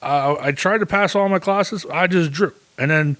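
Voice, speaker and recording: A man's voice: a little high, normal speed, fairly bright tone. A man in his thirties hosting a casual solo podcast, rambling and swearing with lots of fillers and self-mocking asides. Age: 30s. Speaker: podcast host.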